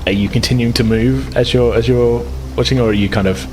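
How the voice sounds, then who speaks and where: A man hosting a podcast and narrating as game master, relaxed and full of "uh" fillers. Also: medium pitch, fairly quick, background noise.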